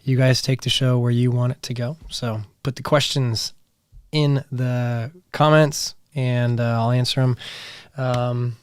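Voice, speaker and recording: An American man with a slightly hoarse voice, chatting casually and informally as he hosts a live hangout and reads out comments. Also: medium pitch, flat delivery, a little slow, no room sound, clean studio sound. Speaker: livestream host. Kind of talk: casual live chat, reading viewer comments.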